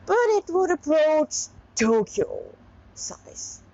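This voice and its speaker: A woman reading a story aloud informally with asides, halting at times and correcting herself as she goes. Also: high voice, very animated, warm tone, highs cut off. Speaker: reader of own fan fiction.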